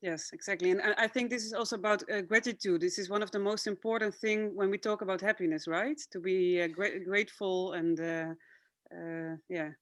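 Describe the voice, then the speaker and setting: A woman's voice: mid-range voice, steady tone, fairly bright tone, no room sound. Hesitant woman interviewer, Dutch native. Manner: hesitant, halting, with fillers. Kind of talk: casual interview chat.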